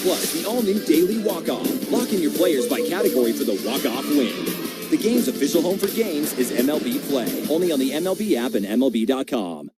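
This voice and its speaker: An American man delivers a scripted, upbeat promo. His voice is fairly high and swings widely in pitch, over a loud bed of music. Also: big pitch swings, fairly quick. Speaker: advertisement announcer.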